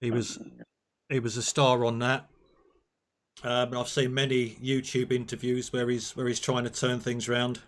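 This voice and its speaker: A man's voice: mid-range voice, monotone, moderate pace. A man hosting a casual game stream, chatty and jokey as he reminisces. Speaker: stream host.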